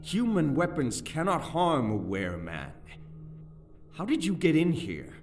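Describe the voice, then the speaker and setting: A young nobleman in a fantasy audio drama, over a prominent music bed. His delivery is slow and theatrical, swinging widely in pitch, with anxious, eloquent, old-fashioned phrasing. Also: very animated, a little mellow. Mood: urgent, resolute.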